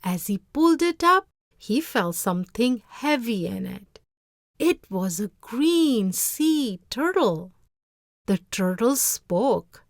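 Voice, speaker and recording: A woman reading a story aloud as narrator, with expressive, dramatic phrasing. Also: a little high, slow pace, no echo, clean studio sound.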